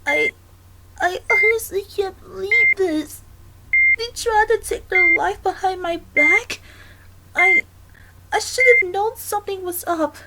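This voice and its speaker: A very high, youthful male cartoon fox played by a performer speaks slowly and haltingly, full of earnest worry. He is a gentle friend in an audio drama. The recording is very noisy. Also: exaggerated voice. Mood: shocked, guilty. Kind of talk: dramatic ASMR roleplay acting.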